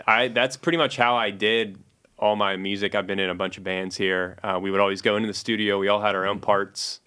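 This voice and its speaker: Deep, flat-voiced man telling stories. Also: brisk, clear, resonant tone. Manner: relaxed storytelling with fillers. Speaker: guest musician and producer. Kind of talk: casual interview chat.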